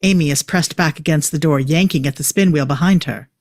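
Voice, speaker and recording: Casting: script narrator for stage directions, medium pitch, close dry recording. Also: brisk, no room sound.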